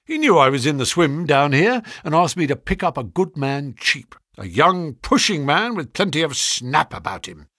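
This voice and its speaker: Guy doing a pushy flattering money agent from an audiobook, sharp n brisk, voice swooping all over, bit husky. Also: fairly high pitch, no echo, clean studio sound, full character voice. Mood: salesmanlike, grandiose. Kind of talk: audiobook character dialogue.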